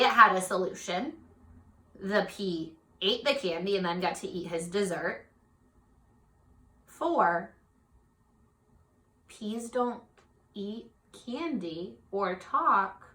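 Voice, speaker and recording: A woman leads a read-aloud lesson for young children in a bright, fairly high voice. She speaks slowly, asking simple questions and offering encouragement. The room is noticeably echoey. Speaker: teacher.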